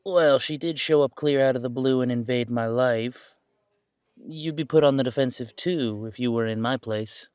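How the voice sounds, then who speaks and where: A man's voice: medium pitch, normal speed, darkish tone, clear, resonant tone, dry recording, studio quality, lightly acted. A man playing a young adult werewolf son in an audio roleplay drama, sardonic and rebellious, with a wry, skeptical delivery.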